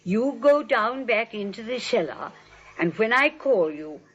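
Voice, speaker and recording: Husky, fussy old lady. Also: fairly high pitch, big pitch swings, measured pace, exaggerated voice. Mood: sweetly firm. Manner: fussy, politely insistent. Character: genteel elderly aunt, sweetly firm. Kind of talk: 1940s radio comedy acting. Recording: dull old radio recording.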